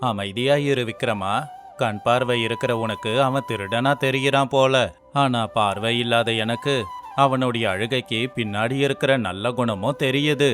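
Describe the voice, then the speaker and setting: A man playing a humble, wise king in an animated folk tale, speaking formally. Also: mid-range voice, moderate pace, close to an everyday voice. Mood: calm, firm. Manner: solemn, moralising, formal. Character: humble, righteous male king, earnest and wise. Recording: music behind the voice.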